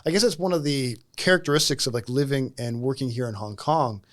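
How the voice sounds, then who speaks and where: A man's voice: mid-range voice, a little fast, a bit bright. A man hosting a casual interview, playful and chatty, laughing along and using "like" often. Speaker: interview host.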